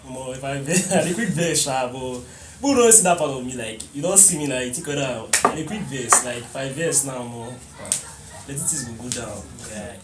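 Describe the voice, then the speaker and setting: A man's voice: mid-range voice, fairly quick. Laughing young Nigerian man, distant. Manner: animated, laughing, mixes in Pidgin. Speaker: interviewee. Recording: roomy echo, distant mic, noise behind.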